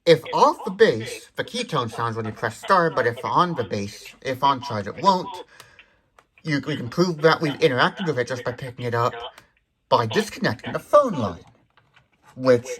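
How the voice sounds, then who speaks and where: A man's voice: medium pitch, animated, normal speed, a bit bright. A man hosting a hands-on tech demonstration, explaining features step by step in a detailed, methodical way. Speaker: tech demonstration video host. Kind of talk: hands-on product demonstration commentary.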